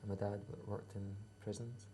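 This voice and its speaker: A man's voice: on the low side, little pitch movement, moderate pace, mellow, slight background noise. A Scottish man in his thirties, a musician answering interview questions in a laid-back, hesitant way with dry humour. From Scotland. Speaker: musician guest.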